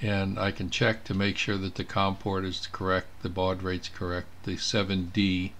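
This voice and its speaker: A man giving an informal step-by-step software demonstration, thinking aloud as he clicks through each step. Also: deep voice, completely flat delivery, moderate pace, a little hiss or hum. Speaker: presenter of a software tutorial. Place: indoors at a computer.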